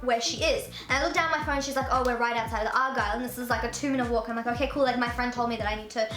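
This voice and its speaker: Young woman vlogger from Russia, casual storyteller, high-pitched, roomy echo, music underneath. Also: steady tone, very fast, a bit bright. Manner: chatty, fills speech with "like", jumps high for quoted voices.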